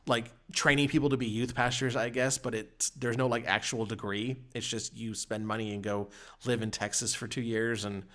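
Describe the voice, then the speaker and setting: A man's voice: mid-range voice, level tone, moderate pace, a bit bright. A man reminiscing casually on a podcast panel in a hesitant, meandering way.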